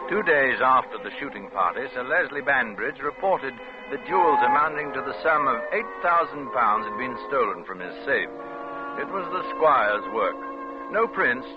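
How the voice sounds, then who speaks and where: An actor playing a police investigator who recounts a case as narrator of an old-time radio crime drama. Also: male voice, medium pitch, lively intonation, moderate pace, lightly acted. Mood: measured, grave. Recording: tinny old radio recording with music.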